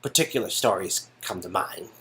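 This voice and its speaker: A man's voice: low voice, normal speed, a bit bright, a little hiss or hum. A man reading an interactive story game aloud in a casual, jokey way, with goofy ad-libs. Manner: jokey, goofy, prone to ad-libbing. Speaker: co-reader performing a visual novel game. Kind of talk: casual, playful read-aloud with improvised asides.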